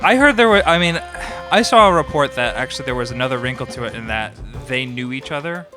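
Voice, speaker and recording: A man who is a bassist guest on a podcast, talking hesitantly with many fillers and hedges. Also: expressive, fast, over music. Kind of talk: casual conversation.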